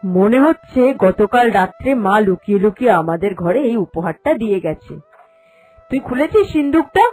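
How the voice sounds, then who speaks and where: A woman's voice: mid-range voice, lively intonation, normal speed, a little mellow, slightly rough. A woman voicing a crafty, greedy elder daughter-in-law in a cartoon, bossy and sly in her delivery. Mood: eager. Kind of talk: cartoon storytelling dialogue. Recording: voice over background music.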